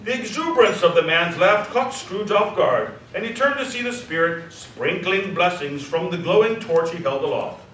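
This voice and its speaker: Casting: expressive male narrator for live radio play, animated, picked up from afar with faint noise. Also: sits high, moderate pace, hardly any pauses. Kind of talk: scripted storybook narration for live radio drama. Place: theater stage before live recording. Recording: echoey live stage, distant, faint noise.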